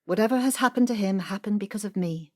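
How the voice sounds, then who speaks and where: Audio drama role for an actress: wealthy wife, forties, cool and withholding, dryly cutting, naturalistic delivery. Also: female voice, mid-range voice, quick pace, mellow, lightly acted. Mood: controlled. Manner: dry, clipped, withholding.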